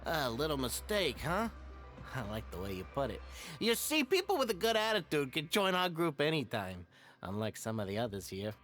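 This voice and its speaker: A man's voice: fairly high pitch, wildly swooping pitch, moderate pace, a bit bright, soft background music. A man voicing a skinny, playful, good-natured gossip in a video game, teasing and laid-back.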